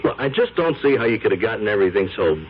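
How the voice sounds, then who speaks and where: An actor playing a brash, wisecracking photographer in a 1970s radio drama, talking fast with slang. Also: male voice, fairly low pitch, expressive, a little hiss or hum, lightly acted. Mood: puzzled. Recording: dull old radio broadcast.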